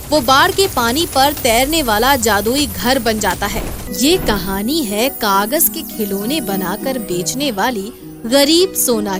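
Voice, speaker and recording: A woman narrates a scripted moral tale as a storyteller. Her voice sits fairly high. Music plays clearly underneath the narration.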